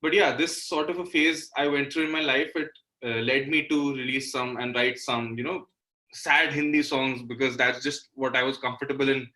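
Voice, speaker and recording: A man's voice: medium pitch, steady tone, normal speed, sharp tone, poor audio. A young man in his twenties with an Indian accent, explaining things reflectively in casual interview talk as a singer-songwriter guest, often saying "you know". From Delhi, India.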